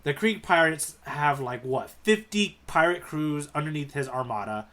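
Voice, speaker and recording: Higher-voiced man guiding a podcast chat. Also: animated, moderate pace, sharp tone. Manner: explanatory, steering the discussion. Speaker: podcast co-host and guide. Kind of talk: casual podcast discussion.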